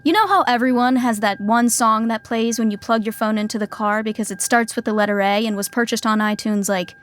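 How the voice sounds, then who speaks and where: A woman narrating a podcast sitcom with a wry, smooth delivery. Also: on the high side, brisk.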